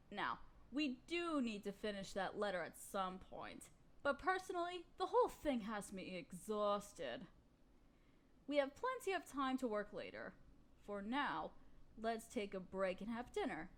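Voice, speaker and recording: Woman acting a haughty, high-voiced evil sorceress queen. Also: a little hiss or hum. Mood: pondering, smug. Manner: imperious, theatrical, drawling. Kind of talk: acted fantasy audio roleplay.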